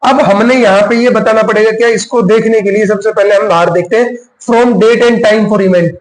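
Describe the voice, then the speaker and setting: A man leads a casual step-by-step tutorial. His voice sits quite high for a man. He explains each step and slips into English terms, and the room adds a clear echo around him. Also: steady tone, normal speed. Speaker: tutorial host. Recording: roomy, echoing voice recording.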